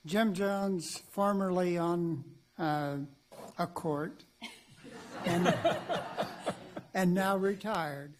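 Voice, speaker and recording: Older man, retired judge; unhurried, fairly high voice, noisy recording. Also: fairly even intonation.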